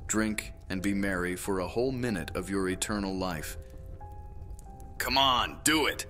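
Deep-voiced easygoing Roman soldier, acted by a man. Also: unhurried, bright, forceful tone. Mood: teasing. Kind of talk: immersive audio drama roleplay. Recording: music behind the voice.

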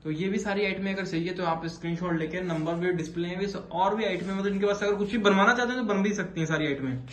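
A man's voice: sits high, fast, fairly bright tone. A man hosting a casual promotional product video in repetitive salesman patter. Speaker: host interviewing a manufacturer. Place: indoors in a garment factory and bare room. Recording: echoey room, faint background noise.

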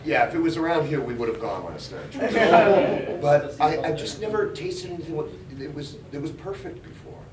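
A man from Canada telling humorous anecdotes as a voice actor on a convention panel, in an animated, halting way. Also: fairly high pitch. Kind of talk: humorous anecdote, casual chat. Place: convention panel room, indoors, far camera. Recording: echoey distant room recording with crowd noise.

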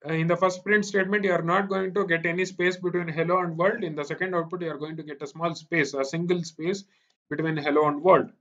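A man's voice: a little high, steady tone, quick pace, a bit bright. A man with an Indian accent giving a live online programming lecture, repeating himself and often checking that students follow. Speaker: programming teacher. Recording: dull, lo-fi online meeting audio.

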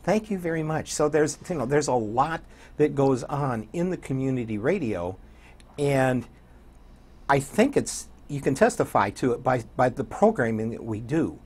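An older American man talks conversationally as a guest in an interview. His voice is husky and sits in a middle register, like a seasoned local radio DJ describing his work. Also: medium pitch, animated, normal speed, a little mellow. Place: small indoor TV studio.

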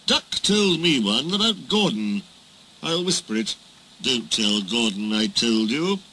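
A man's voice: medium pitch, very animated, measured pace, sharp tone, slight background noise, poor audio. A man voicing a scheming diesel engine from a children's storybook, oily, insinuating and falsely friendly. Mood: oily, gloating.

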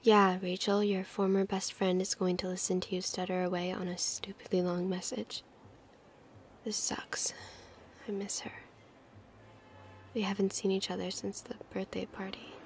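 An actress playing a young, quippy, rambling superheroine in an audio drama. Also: female voice, medium pitch, flat delivery, moderate pace, slight background noise, close to an everyday voice. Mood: awkward regret. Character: wisecracking young superheroine, lonely but cocky.

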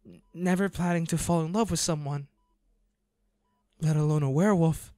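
A man playing a devoted, grieving young hunter in an audio drama, speaking intimately in a halting, pleading, emotional way to an injured lover. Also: on the high side, dark tone, no echo, clean studio sound, lightly acted. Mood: tender, shaken. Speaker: audio roleplay voice actor.

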